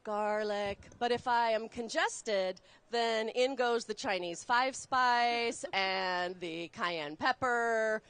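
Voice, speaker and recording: A woman chef raised in Texas, explaining a recipe step by step while cooking on a live show, chatty and quick to laugh. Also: fairly high pitch, fairly bright tone, clean tone. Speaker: guest chef. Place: studio kitchen.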